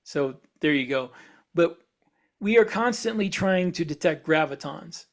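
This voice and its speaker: A man's voice: fairly high pitch, lively intonation, measured pace. A man co-hosting a podcast, talking through ideas in a casual, explanatory way as he thinks aloud. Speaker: podcast co-host. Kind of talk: casual discussion of speculative science for fiction.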